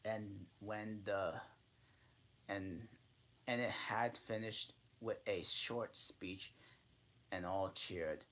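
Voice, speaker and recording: A man reading a children's classic aloud in a halting way, often restarting and correcting himself mid-sentence. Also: fairly low pitch, fairly even intonation, a bit bright. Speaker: channel host reading aloud.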